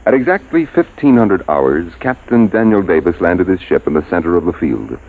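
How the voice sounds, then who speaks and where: A man's voice: low-pitched, very animated, normal speed. A man giving scripted narration for a radio drama. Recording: muffled, narrow phone-like audio. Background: music.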